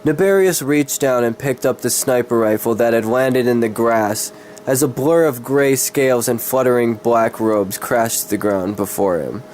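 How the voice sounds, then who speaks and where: A man's voice: mid-range voice, normal speed, a little mellow, a little hiss or hum. A man who is sick gives a dramatic reading of fantasy as an amateur, tripping over his words and swearing in casual asides. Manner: stumbling, tongue-tied, sweary. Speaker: amateur reader of his own fantasy story.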